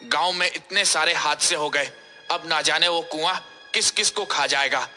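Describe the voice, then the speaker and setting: Man playing a very bright, sharp-voiced villager. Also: fairly high pitch, moderate pace, soft background music. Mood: worried insistence. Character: concerned, grumbling village man in a folk tale.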